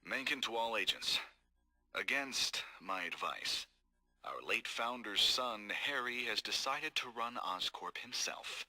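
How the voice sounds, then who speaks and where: A man voicing a cold, scheming corporate executive in game audio logs, calm, measured and formal. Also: mid-range voice, low-quality recording. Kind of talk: recorded game audio log.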